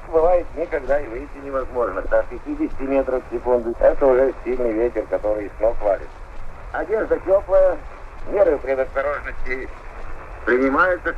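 A man's voice: medium pitch, narrow, tinny audio, slight background noise. A man at a polar station answering interview questions over a long-distance radio link.

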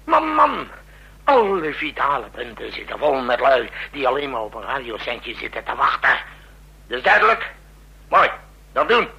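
Brusque commanding president, very sharp. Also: male voice, medium pitch, big pitch swings, normal speed, creaky, breathy edge, exaggerated voice, rough recording. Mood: brisk, commanding. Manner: brusque, barking orders, grumbling asides. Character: commanding, brusque male president giving night orders. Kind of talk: Dutch radio drama acting.

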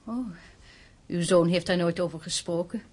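An actress in a radio drama playing a gentle, proud young widowed mother with a polite, reserved manner. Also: lowish voice, normal speed, lightly acted. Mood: proud, wistful. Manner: soft-spoken with her child, politely reserved.

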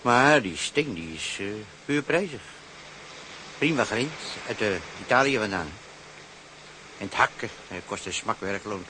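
Casting: a fussy rural gravedigger and headstone seller, lowish voice, wheedling and repetitive, faint rumbling noise behind. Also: male voice, animated, unhurried, bright, forceful tone, low-quality recording. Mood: chatty, showing around. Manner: chatty, hesitant, wheedling salesman, repetitive. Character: fussy, money-minded village gravedigger selling headstones.

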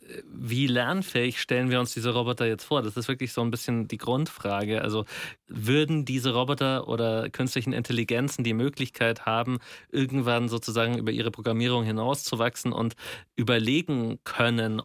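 A man's voice: mid-range voice, steady tone, moderate pace, fairly bright tone, no echo, clean studio sound. A man thinking aloud in a casual, reflective discussion, musing in colloquial language.

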